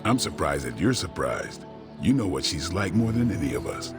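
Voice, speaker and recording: An actor playing a gruff, stoic vampire hunter in a video game, terse and deadpan, with dry loyalty. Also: male voice, normal speed. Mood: dry, blunt. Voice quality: very deep. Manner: terse, deadpan, dryly blunt. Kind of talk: video game cutscene dialogue. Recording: music under the dialogue. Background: music.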